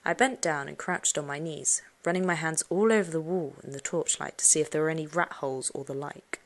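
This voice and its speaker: A woman reading a children's suspense story aloud, expressively, with dramatic hushed moments. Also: low voice, brisk. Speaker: audiobook narrator. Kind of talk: dramatic first-person storybook narration for children.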